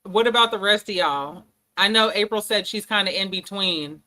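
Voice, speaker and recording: Bright-voiced woman hosting a panel. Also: mid-range voice, normal speed, rough recording. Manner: drawling, teasing, with many ums. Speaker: host. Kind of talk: casual panel discussion.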